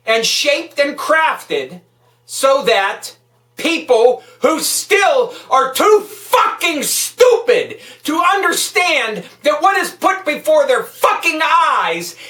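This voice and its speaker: In a roomy, echoing space a little away from the microphone, a woman rants about politics. Her voice is sharp and forceful, and she speaks slowly for emphasis. She is hectoring and swears freely. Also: high voice. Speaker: political vlogger. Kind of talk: angry political rant. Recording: echoey room, distant microphone.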